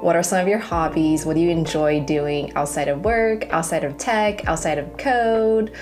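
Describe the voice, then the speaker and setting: A woman's voice: low voice, normal speed, music in the background. A woman hosting an interview, asking her guest inviting questions and stacking several at once. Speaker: interview host.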